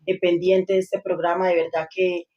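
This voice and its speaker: A woman's voice: on the low side, little pitch movement, moderate pace, highs cut off. Earnest woman pastor guest. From Colombia. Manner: earnest, with hesitant fillers. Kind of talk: interview testimony.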